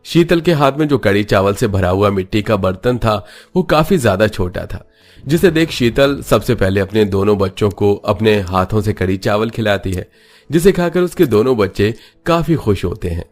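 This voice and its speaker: Male storyteller, lowish voice, scripted cartoon fable narration over clear background music. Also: animated, moderate pace, darkish tone.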